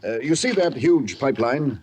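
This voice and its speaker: A man's voice: mid-range voice, expressive, normal speed, darkish tone, natural voice. A man playing a hearty, chummy captain in a 1950s radio adventure, with old-fashioned turns of phrase. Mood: persuasive. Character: jovial British colonial captain. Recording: noisy 1950s radio recording.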